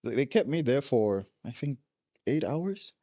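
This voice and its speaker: A man's voice: medium pitch, big pitch swings, measured pace, mellow, no echo, clean studio sound. A young man from Ghana, a guest telling his travel stories in a casual interview, laughing often.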